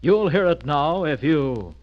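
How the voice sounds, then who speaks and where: Theatrical old-time radio announcer, higher-pitched male. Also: expressive, slow pace. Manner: theatrical, emphatic showmanship. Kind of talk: 1940s radio announcing, scripted. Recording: muffled vintage radio recording.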